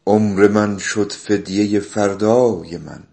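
A man reciting classical Persian poetry in a measured, declamatory manner. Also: fairly low pitch, fairly even intonation, vocal fry, dark tone. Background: music.

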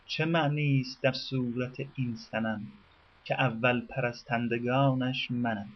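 A man reads scripted radio narration in a measured, formal manner and eases into a slower cadence whenever he recites classical verse. His voice sits at an ordinary middle pitch. The recording sounds dull and lo-fi, like an old radio broadcast. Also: steady tone.

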